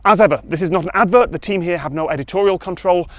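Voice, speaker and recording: British male presenter, slightly high voice, dry deadpan read. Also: quick pace, dry recording. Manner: dry, wry, matter-of-fact. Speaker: video presenter. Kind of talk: scripted video narration.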